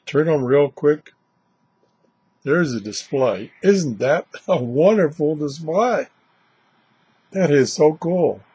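A man's voice: fairly high pitch, animated, takes it slowly, long pauses, darkish tone, slightly rough. An older man hosting a casual, unscripted product vlog, chatting in a rambling way. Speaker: video host reviewing shortwave radios. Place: indoors, small cluttered radio room.

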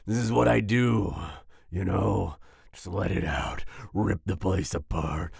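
A man playing a volatile but soft-hearted werewolf boyfriend in an ASMR roleplay, growling and raspy, with sighs and groans. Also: deep voice, steady tone, no room sound, studio quality, full character voice. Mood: grumbling. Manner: snarling outbursts, sighs and groans, slow brooding delivery. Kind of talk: ASMR roleplay audio drama.

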